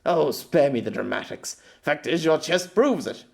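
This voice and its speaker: A man's voice: fairly high pitch, expressive, moderate pace, creaky. A man voicing a grumpy, condescending novelist with a pompous, exasperated, sardonic manner. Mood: dismissive.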